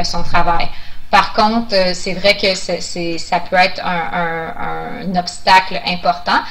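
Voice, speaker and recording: A woman's voice: on the low side, a bit bright, a little hiss or hum, poor audio. A woman answering questions in a webinar as an immigration officer, explaining procedures in a hesitant, informal way.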